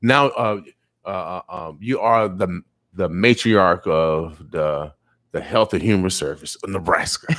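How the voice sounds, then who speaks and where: Halting, low-voiced man in his fifties. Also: a bit bright, no echo, studio quality. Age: 50s. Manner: halting, many fillers and restarts, affirming. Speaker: radio host. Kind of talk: radio interview.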